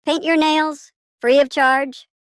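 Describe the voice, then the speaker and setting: A woman voicing a sweet, playful older neighbour in an audio story, chatty and affectionate with a creaky voice. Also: high voice, fairly even intonation, slow pace, a bit bright, no echo, studio quality. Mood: playful, mischievous. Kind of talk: scripted audio story character.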